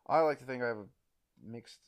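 A man's voice: mid-range voice, fairly quick, clear, resonant tone. A young man from Canada co-hosting a casual podcast, ranting in jokes and laughing often. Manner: animated, laughs often, jokey rants. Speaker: podcast co-host. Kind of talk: casual chat.

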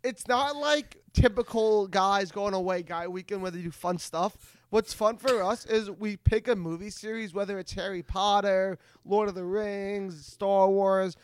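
A man's voice: high-pitched, a little slow, clean tone. A man hosting a casual, comedic podcast chat, stammering and repeating his phrases as he goes. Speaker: podcast host.

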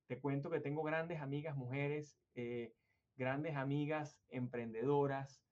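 A man's voice: medium pitch, fairly even intonation, unhurried, a little mellow. A man from Venezuela hosting an interview, talking at length in a rambling, hesitant way with many fillers and restarts. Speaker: interview host. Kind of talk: conversational interview. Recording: dull lo-fi sound.

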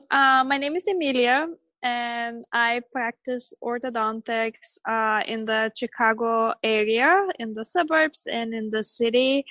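A woman's voice: a little high, pushed and bright, dry recording. A woman in her thirties, from Bulgaria, talking as a guest in a casual remote interview, hesitant with many ums and laughs. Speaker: guest, orthodontist and travel blogger.

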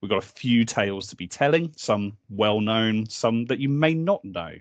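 Deep-voiced man bantering on a podcast. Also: expressive, normal speed, no room sound. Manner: sweary, joking, rambling. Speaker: podcast co-host. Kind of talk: casual comic banter.

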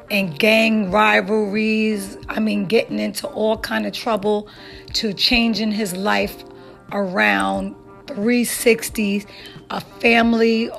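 A woman with a mid-range voice and a gravelly, fry-edged tone hosts a casual talk show. She talks in an upbeat, emphatic way and builds hype. Faint music plays underneath. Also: mid-range voice. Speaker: podcast host and hairstylist. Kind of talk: casual interview chat.

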